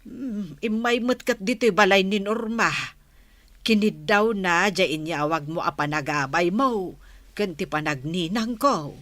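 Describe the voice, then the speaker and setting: A woman's voice: mid-range voice, measured pace, fairly bright tone, no room sound, lightly acted. A woman playing a caring, chatty village mother in a radio-style drama.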